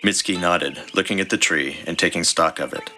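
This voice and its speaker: A man's voice: low-pitched, fairly quick, bright, forceful tone, slight background noise. A man who co-hosts a podcast, reading a scripted story as narrator. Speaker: podcast host and story reader. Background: music.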